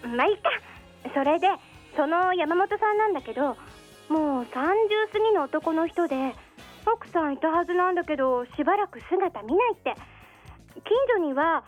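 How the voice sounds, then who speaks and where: A flighty, nosy young woman with a very high, girlish voice. Her chatty radio drama delivery swings up and down in pitch, coaxing and gossipy, with music faintly behind her. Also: expressive, moderate pace. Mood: conspiratorial. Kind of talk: radio drama acting, casual conversational Japanese.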